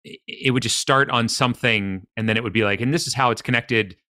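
A man chatting casually as a podcast guest, in a halting, hesitant way. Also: medium pitch, bright, forceful tone, dry recording, clean studio sound. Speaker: podcast guest and co-host of another podcast. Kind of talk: casual chat.

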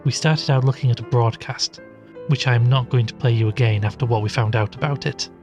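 A man playing a worried studio broadcaster investigating the occult in an audio drama, speaking in an earnest, measured way. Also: mid-range voice, over music, natural voice. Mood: earnest, uneasy.

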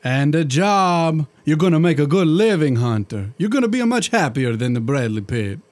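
Man voicing a lively fatherly Italian genie chef, comic audio drama, slightly high. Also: moderate pace. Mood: jovial. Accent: put-on Italian. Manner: warm broken English, fatherly. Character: jolly Italian male genie cook. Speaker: voice actor.

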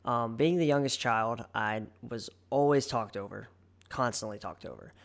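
A young man with a somewhat nasal voice hosts a solo podcast, speaking at a mid pitch. He talks in an earnest, chatty way and often trails off into ums before starting over. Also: normal speed, creaky. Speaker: podcast host and online fitness coach. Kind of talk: casual solo podcast monologue.

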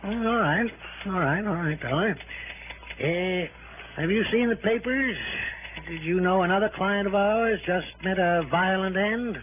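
An actor playing a fussy, tight-fisted insurance official who stammers and repeats himself, in 1960s radio drama style. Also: male voice, high-pitched, a little slow. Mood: flustered, stalling. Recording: tinny, noisy old radio broadcast.

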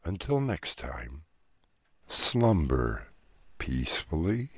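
A man playing the sinister host of a horror audio drama, speaking slowly and gravely. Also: very deep voice, very animated, very noisy, exaggerated voice. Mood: ominous. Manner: slow, sinister, measured delivery. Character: ominous male horror show host, grim and sly. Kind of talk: horror radio play narration. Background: music.

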